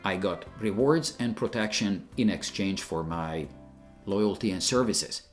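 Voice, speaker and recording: A man's voice: fairly low pitch, measured pace, soft background music. An older man from Hungary, a retired teacher giving an emphatic, opinionated scripted narration.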